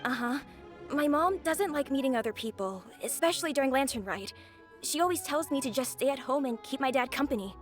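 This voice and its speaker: Earnest, high-voiced little boy. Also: animated, fairly quick, soft background music. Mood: polite. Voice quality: boyish. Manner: earnest, pleading. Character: young boy, earnest, worried and polite. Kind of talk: anime game voice acting.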